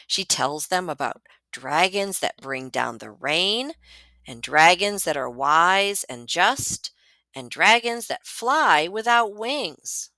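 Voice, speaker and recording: A woman reading a children's picture book aloud as a librarian would for students, with deliberate, dramatic emphasis on words. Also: fairly low pitch, animated, bright, forceful tone, no echo.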